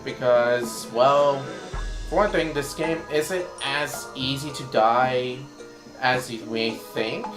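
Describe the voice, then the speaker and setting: Hoarse male let's-play commentator, mid pitch, rambling and casual, roomy sound with game music underneath. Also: slow pace. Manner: rambling, thinks aloud while playing.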